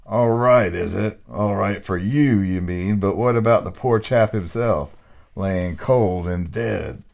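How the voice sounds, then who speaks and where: A man reading aloud and voicing a burly, aggrieved male ghost who blusters about his rights in rough, plain speech. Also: on the low side, slow pace, darkish tone. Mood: indignant. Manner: indignant, blustering, rough working-class speech. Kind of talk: reading a novel aloud with voices.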